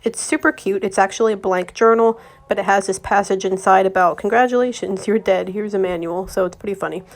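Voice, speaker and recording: A young woman with a slightly raspy voice from a recent cold, chatting casually to viewers as she narrates her decorating vlog. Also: medium pitch, fairly even intonation, brisk, hardly any pauses. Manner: chatty, friendly, addresses viewers directly. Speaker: home decor vlogger.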